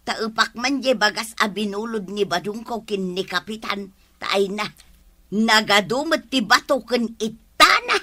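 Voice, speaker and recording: An actress with an Ilocano Filipino accent playing a brash, complaining neighbour wife in a radio comedy drama. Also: female voice, mid-range voice, lively intonation, measured pace, pushed and bright. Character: brash, pushy neighbour wife who borrows.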